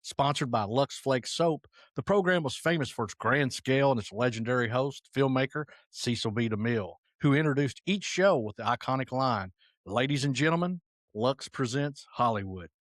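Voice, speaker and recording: A man narrates in a medium-pitched voice, recorded dry and close. He delivers a scripted introduction in the role of programme host. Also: animated, moderate pace, no echo. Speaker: host introducing classic radio drama.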